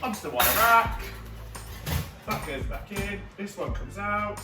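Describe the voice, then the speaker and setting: A woman's voice: medium pitch, animated, a little slow. A woman presenting a recipe step by step in a playful, theatrical way with comic asides. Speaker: cooking show presenter. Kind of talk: instructional cooking demonstration with comic asides. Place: home kitchen, indoors. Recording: prominent music over kitchen clatter. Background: loud music, cutlery, pots and pans.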